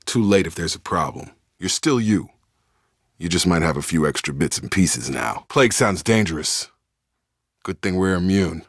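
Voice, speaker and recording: An actor playing a loyal, guarded soldier in a video game, speaking in frank, clipped, informal military talk. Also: male voice, sits low, lively intonation, normal speed, no echo, studio quality. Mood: dry, steady. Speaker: soldier squadmate. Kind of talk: video game character dialogue.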